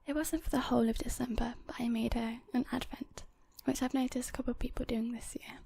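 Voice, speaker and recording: A woman whispering in a casual ASMR chat, gently rambling and unhurried, as host of her own video. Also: fairly high pitch, steady tone, creaky, slight background noise. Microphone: portable handheld audio recorder. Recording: close whispered recording on portable recorder.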